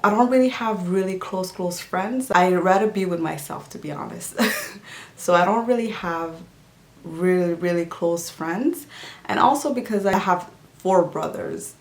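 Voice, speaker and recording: A woman's voice: fairly low pitch, measured pace. Easygoing woman in an echoey room. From Djibouti. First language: not English. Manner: easygoing, chatty, many fillers and restarts. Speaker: health and nutrition vlogger. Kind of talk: casual Q&A vlog. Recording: roomy echo.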